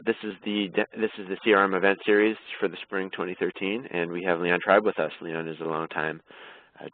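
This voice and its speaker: A man hosting a webinar, introducing the guest speaker in a halting way with many uhs. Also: low voice, no room sound. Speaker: webinar host. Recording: dry tinny phone-line audio.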